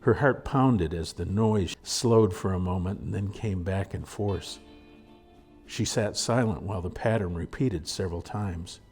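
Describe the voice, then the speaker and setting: A man reading a story aloud as narrator in a measured, dramatic storytelling manner. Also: low voice, a little mellow, faint music behind. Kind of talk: scripted audiobook narration.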